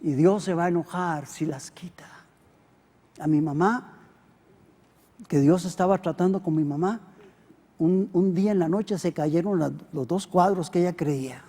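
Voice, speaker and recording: A middle-aged Costa Rican pastor preaching a sermon, swinging between loud emphatic peaks and quiet low asides. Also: male voice, a little slow, long pauses. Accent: Costa Rican Spanish. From Costa Rica. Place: church hall. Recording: long hall reverb.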